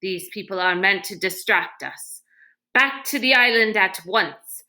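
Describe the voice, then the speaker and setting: A woman's voice: mid-range voice, a little slow, bright, forceful tone. A woman voicing an angry villainous male leader in a storybook, snarling harsh orders with contempt.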